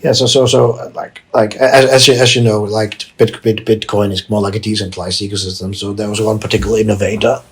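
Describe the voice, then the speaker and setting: A man from Denmark with a very low voice and a strongly husky, rough texture. He talks as a podcast guest in an unscripted, conversational way. He stumbles through frequent restarts and repeated words. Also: flat delivery, brisk, few pauses. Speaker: podcast guest, tech executive. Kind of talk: conversational interview answers.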